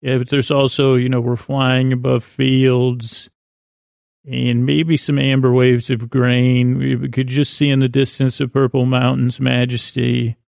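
A man hosting a sleep podcast with a meandering, halting, whimsical bedtime monologue in a gravelly, creaky voice. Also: medium pitch, monotone, a little mellow, dry recording, studio quality. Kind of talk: rambling improvised bedtime monologue.

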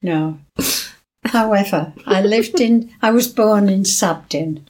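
Slow, husky-voiced woman in her eighties. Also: medium pitch. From Lancashire, England. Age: 80s. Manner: brief answers, soft laughs. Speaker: guest storyteller. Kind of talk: casual interview chat.

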